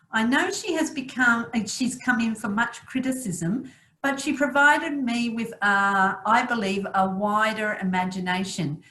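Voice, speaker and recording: A woman moderating an online library talk, reading out audience questions in a gentle way with brief affirmations. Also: fairly high pitch. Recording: roomy echo.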